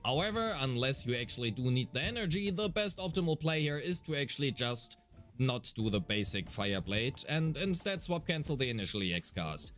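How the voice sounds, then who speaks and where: A man's voice: mid-range voice, very animated, a little fast, a bit bright, faint music behind. A man reading scripted explanatory narration as a game guide, detailed and methodical with a mildly wry touch.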